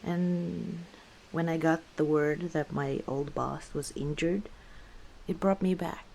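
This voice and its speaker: A battle-scarred orc tavern keeper, played by a woman, shares her past in an intimate ASMR roleplay. Her voice sits low for a woman and she speaks very slowly, pausing to reflect. She comes across as weary and guarded, with a gentle wry streak. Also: gravelly, slight background noise. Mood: wistful, warm. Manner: unhurried, reflective, hesitant pauses, soft chuckles.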